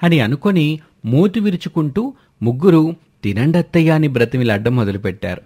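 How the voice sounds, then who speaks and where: A man's voice: fairly high pitch, lively intonation, a little fast, dark tone, breathy edge. A man narrating a scripted moral story as its storyteller.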